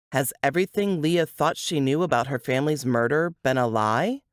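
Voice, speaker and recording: A narrator reading a scripted suspense book trailer in a dramatic, deliberate way. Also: mid-range voice, no echo, clean studio sound.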